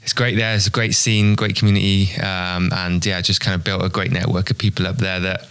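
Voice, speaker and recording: A man's voice: deep voice, flat delivery, fairly quick, a bit bright, clear, resonant tone. A man, chatting casually and jokily as a studio interview guest. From Cardiff, Wales. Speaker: radio presenter as interview guest. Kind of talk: casual chat. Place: radio studio.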